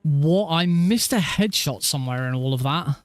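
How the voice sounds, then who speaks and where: A man with a naturally high voice, rambling casually and self-deprecatingly as he hosts a gaming livestream. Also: expressive, moderate pace, no room sound, soft background music. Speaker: livestreaming speedrunner and host. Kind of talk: casual livestream chat over gameplay.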